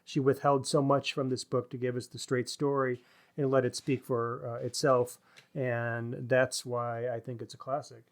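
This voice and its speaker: Mid-pitched man discussing books analytically. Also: little pitch movement, normal speed. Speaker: podcast co-host.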